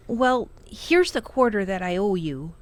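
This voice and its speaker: A woman's voice: medium pitch, lively intonation, unhurried, natural voice. A woman voicing an earnest, loyal young boy from a storybook in an emphatic, sincere manner. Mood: sincere, awkward.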